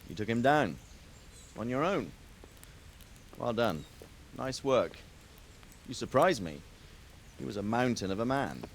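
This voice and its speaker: An actor playing an authoritative medieval lord and military commander in a video game cutscene, speaking deliberately. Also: male voice, medium pitch, very animated, vocal fry, a little hiss or hum. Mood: approving. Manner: authoritative, measured, questioning. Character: commanding, deliberate medieval nobleman leading soldiers.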